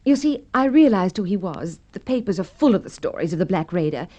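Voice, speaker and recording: A woman's voice: medium pitch, big pitch swings, brisk, warm tone. An actress playing a self-assured, theatrical female burglary victim in a radio drama, telling her story emphatically. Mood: dramatic, fearful. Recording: dull lo-fi vintage radio recording.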